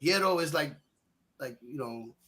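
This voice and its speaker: Man, New York accent, high voice, casual chat, slangy, sweary, explanatory. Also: expressive, unhurried, pushed and bright. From New York, Brooklyn. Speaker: talk participant.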